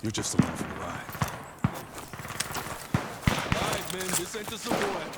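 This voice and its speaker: A man playing a stern, commanding American infantry sergeant in a war game, gruff and measured, with an American accent. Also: high voice, wildly swooping pitch, heavy background noise. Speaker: game character. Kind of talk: cinematic war game acting. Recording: loud music over the dialogue.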